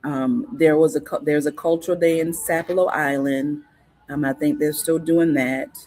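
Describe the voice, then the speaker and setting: A woman, a guest in a casual interview, explaining things in an unhurried, informative way with frequent fillers and restarts. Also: sits low, fairly even intonation, darkish tone. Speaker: guest on cultural topics.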